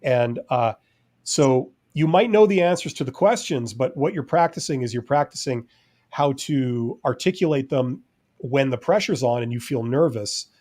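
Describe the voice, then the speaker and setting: A man's voice: mid-range voice, normal speed. Rambling, easygoing male co-host. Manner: relaxed, rambling, many fillers. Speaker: podcast co-host. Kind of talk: casual live-stream chat.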